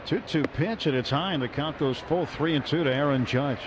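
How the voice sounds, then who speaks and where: A man calling a baseball game on the radio as play-by-play announcer, brisk and lifting with excitement on big plays. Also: on the high side. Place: ballpark broadcast booth. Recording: muffled broadcast audio with crowd noise.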